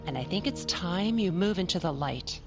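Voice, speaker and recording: An actress playing a primly positive, insistent therapist in an audio drama, measured and clinical as she coaxes. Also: on the low side, lively intonation, over music. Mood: reassuring. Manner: clinical, coaxing, deliberate. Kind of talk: naturalistic audio drama acting.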